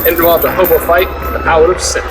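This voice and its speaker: An actor playing a wisecracking, exasperated sidekick with old-time slang in an audio drama. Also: male voice, a little high, little pitch movement, fast talker, over music, lightly acted.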